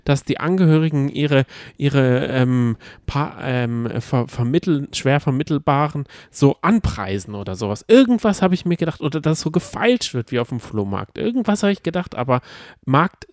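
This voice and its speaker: A man co-hosting a podcast, chatting casually about reality TV in a rambling, halting way as he thinks aloud. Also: medium pitch, very animated, darkish tone, dry recording, clean studio sound. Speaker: podcast co-host.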